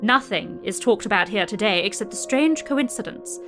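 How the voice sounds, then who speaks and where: A woman playing a composed newspaper correspondent in an audio drama, narrating her dispatch in a measured, articulate way. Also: medium pitch, lively intonation, music in the background, close to an everyday voice. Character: observant, composed newspaper correspondent filing reports.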